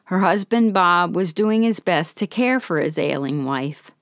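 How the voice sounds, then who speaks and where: A woman podcast host narrating a story in a calm, gentle, measured way while slightly hoarse from being under the weather. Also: lowish voice, no echo, studio quality.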